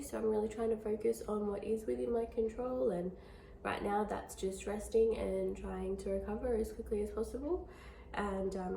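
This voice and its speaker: A young woman with a hoarse, croaky voice from illness, chatting casually in a video diary, tired but trying to stay upbeat. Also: dark tone, slight background noise, low-quality recording. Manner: tired, low-key, upbeat despite illness. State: congested, chesty cough. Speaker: vlogger.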